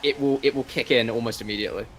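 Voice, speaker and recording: A man's voice: mid-range voice, fairly even intonation, racing, fairly bright tone. A man with a New Zealand accent, chatting casually on a live gaming stream, rambling and restarting his sentences. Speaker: streamer and video creator.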